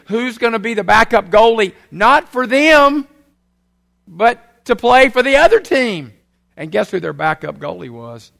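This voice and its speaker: A man's voice: high voice, creaky, a bit bright. An older man, preaching a sermon in a folksy, chatty way with many uhs while he has a head cold. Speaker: pastor.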